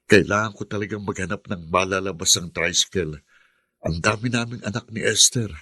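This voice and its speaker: A kindly, middle-aged working father in a radio drama. His low voice is clear-edged and dry, and he speaks gently, like someone tired and burdened by providing for his family. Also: a little fast, no room sound, exaggerated voice. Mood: resigned, reassuring. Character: weary, kindly poor tricycle-driver father of five. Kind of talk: Filipino radio drama acting.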